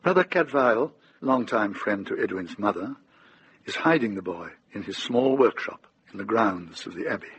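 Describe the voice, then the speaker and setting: An actor narrating a medieval mystery for radio in a measured, formal manner. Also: male voice, lowish voice, animated, a bit bright, a little hiss or hum. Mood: grave, measured. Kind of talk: scripted radio drama narration.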